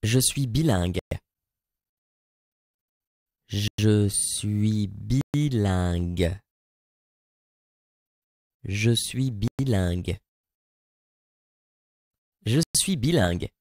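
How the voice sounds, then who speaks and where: A man reads short phrases for learners at a very slow pace. His voice is mellow and dark. The recording is dry and close, and each phrase is repeated, sometimes broken into single words. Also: lowish voice, dry recording. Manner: says each phrase several times, sometimes word by word. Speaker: French language speaker.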